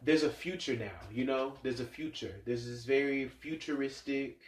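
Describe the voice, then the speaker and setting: A stuffed-up man reads tarot cards in a loose, rambling way, thinking aloud with plenty of fillers. His voice sits at an ordinary middle pitch. The room around him gives it a noticeable echo. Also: normal speed, a little hiss or hum. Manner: musing, rambling, many fillers. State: stuffed-up nose. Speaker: tarot reader. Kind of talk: casual tarot reading vlog. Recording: echoey room sound.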